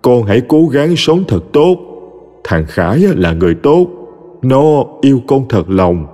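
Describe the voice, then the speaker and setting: A man voicing the tender ghost of an old grandmother, speaking softly and consolingly. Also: mid-range voice, sing-song, unhurried, big-room sound, faint music behind. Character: gentle ghostly old grandmother, loving and calm.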